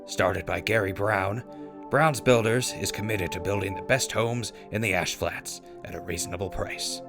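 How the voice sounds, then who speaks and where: Audio drama role, male actor: post-apocalyptic ghoul radio host, deep register, dry and grumbly, casual news reads over music. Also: normal speed, vocal fry. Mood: wry, easygoing. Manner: wry, grumbling, informal. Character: wry, laid-back ghoul radio host in a wasteland. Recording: music behind voice.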